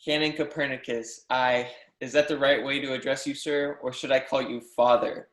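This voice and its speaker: A man's voice: medium pitch, flat delivery, normal speed, close to an everyday voice. Man playing an eager young scholar, echoey room. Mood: reverent, insistent. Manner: earnest, effusive, apologetic, formally polite. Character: eager, deferential young German mathematics professor, flustered admirer. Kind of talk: readers theatre performance of a history play.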